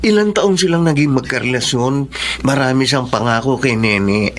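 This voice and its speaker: An actor playing a gentle, loyal male friend in a radio drama, speaking calmly and reassuringly. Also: medium pitch, normal speed, a little hiss or hum, natural voice. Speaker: supportive friend. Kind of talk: Tagalog radio drama acting.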